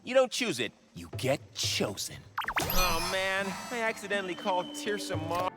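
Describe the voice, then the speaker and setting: A woman voicing an eager, geeky young sidekick in an animated game, chatty, earnest and friendly. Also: fairly low pitch, moderate pace, loud music behind the voice. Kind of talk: animated video game cutscene acting.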